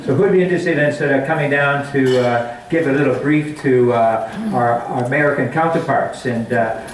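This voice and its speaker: A man's voice: mid-range voice, normal speed, a little hiss or hum. Middle-aged man from Canada, physician, chatty public talk, echoey hall, far-off camera. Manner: chatty, many restarts and fillers, walks while talking. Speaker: guest speaker, family physician. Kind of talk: public talk at a community forum. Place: community hall meeting room. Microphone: handheld mic, far camera.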